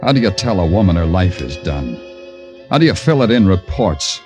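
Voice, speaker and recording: An actor playing a world-weary American police detective, narrating in brooding, lyrical, hard-boiled 1940s radio noir style. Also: male voice, low-pitched, big pitch swings, normal speed. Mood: brooding, sorrowful. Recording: dull old radio broadcast, loud music.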